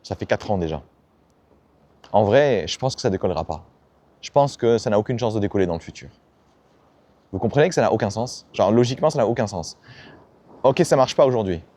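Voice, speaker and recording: A man's voice: mid-range voice, dark tone. A man giving a blunt, slangy motivational talk about ambition and failure, often acting out the people he quotes. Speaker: self-help YouTuber. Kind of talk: casual motivational monologue.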